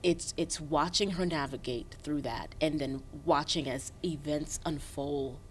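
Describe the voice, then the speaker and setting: A woman actor with a very low voice talks fluently and expressively in an interview. Her voice drops soft at times before lifting again. A faint rumble runs underneath. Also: a little slow. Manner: fluent, expressive, trails off softly. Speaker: actor guest. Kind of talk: casual interview.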